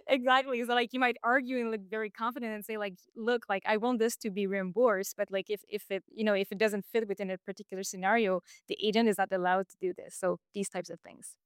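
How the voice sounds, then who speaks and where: A woman's voice: mid-range voice, fairly even intonation, fairly quick, clean tone, no room sound, studio quality. A woman from Quebec with a Quebec French accent, speaking English as a second language, explaining things as an interview guest with many fillers and restarts. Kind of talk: casual interview.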